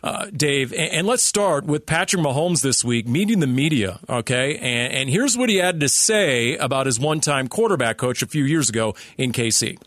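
A man's voice: mid-range voice, lively intonation, moderate pace, hardly any pauses, fairly bright tone. A man hosting a sports radio show, talking in a hyped, theatrical, emphatic showman style.

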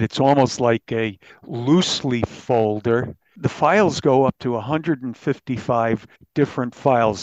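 A man's voice: medium pitch, no echo. A man speaking as an interviewed reporter, explaining details in a conversational, matter-of-fact way. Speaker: newspaper reporter, interview guest. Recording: dull, band-limited audio.

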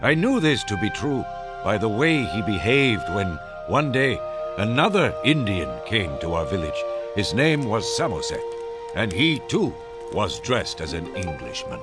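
Older chief, deep voice, expressive delivery, commanding, formal, measured, radio drama with music behind. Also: male voice. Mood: solemn, reflective. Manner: solemn, deliberate, formal. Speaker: narrator and chief. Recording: radio play with music underneath.

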